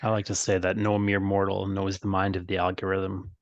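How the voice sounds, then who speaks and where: A man hosting a casual interview, talking in a halting way and circling around his questions. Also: low voice, completely flat delivery, dry recording, lo-fi sound. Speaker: podcast host, interviewer.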